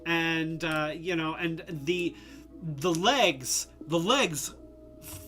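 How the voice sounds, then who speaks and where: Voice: mid-range voice, very slow, fairly bright tone, faint music behind. A live-stream host reviewing toys in a casual, rambling way, congested and losing their voice, a bit tipsy from drinks. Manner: rambling, many fillers and restarts.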